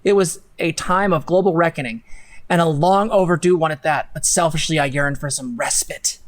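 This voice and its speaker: A woman's voice: deep voice, moderate pace. A young adult woman co-hosting a livestream in a sardonic, blunt way, with casual mocking commentary. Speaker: co-host of a livestream.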